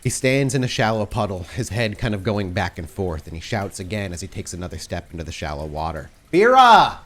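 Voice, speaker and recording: A man narrating a tabletop roleplaying game as game master, setting scenes in a measured, atmospheric way. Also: deep voice.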